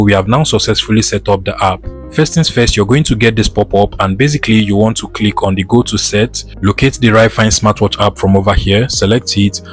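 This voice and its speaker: Low-voiced man narrating a tutorial. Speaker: tech tutorial presenter. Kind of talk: scripted step-by-step tutorial narration.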